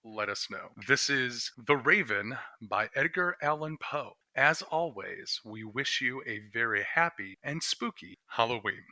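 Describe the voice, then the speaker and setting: A man giving a theatrical, audiobook-style dramatic poetry reading, swinging between hushed and loudly declaimed delivery. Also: medium pitch, lively intonation, a little slow, hardly any pauses. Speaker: host and reader.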